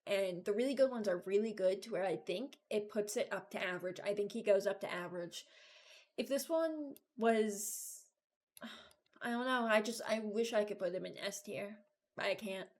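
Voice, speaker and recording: A young adult woman giving casual, unscripted reaction commentary, hesitant and thinking out loud. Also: medium pitch, normal speed. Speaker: video creator ranking game voice lines.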